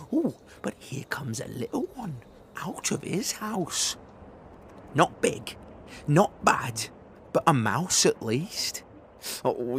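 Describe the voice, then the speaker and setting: A man voicing a small, brave, curious young girl monster in a storybook reading, with excited exclamations. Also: medium pitch, slight background noise. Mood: boastful.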